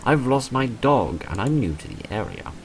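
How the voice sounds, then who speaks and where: A man's voice: mid-range voice, sing-song, normal speed, slight background noise, pitched above the performer's own voice. A man voicing a sweet, earnest and apologetic young woman in a visual novel. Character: Jackie, sweet apologetic young woman seeking her lost dog.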